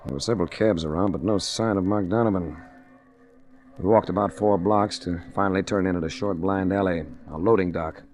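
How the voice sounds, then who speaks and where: An actor playing a wry, laconic adventurer detective, narrating and talking in hard-boiled 1940s radio style. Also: male voice, low-pitched, fairly even intonation, a little fast, natural voice. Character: wry, cool male ex-attorney adventurer detective. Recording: noisy old 1940s radio recording.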